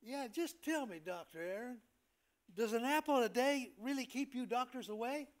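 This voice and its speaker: A man's voice: very high voice, normal speed. A man playing a genial, joking hospital patient in an amateur comic staged reading, with an easygoing, folksy manner.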